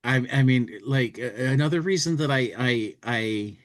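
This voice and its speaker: A man hosting a podcast in casual chat, rambling and halting, often restarting his phrases. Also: medium pitch. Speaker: podcast host.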